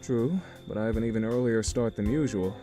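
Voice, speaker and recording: Man playing an easygoing game uncle, homely, lowered dark mellow voice, light music behind. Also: a little fast, lightly acted. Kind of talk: acted visual novel dialogue. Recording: music under the voice.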